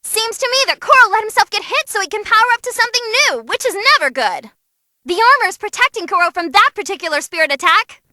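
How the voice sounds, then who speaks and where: An actress voicing an excitable, cheeky young female ring announcer, giving animated, theatrical fight commentary in a video game. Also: very high voice, fast talker, dry recording, heavily acted. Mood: lively commentating. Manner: perky, theatrical, wisecracking. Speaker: match commentator.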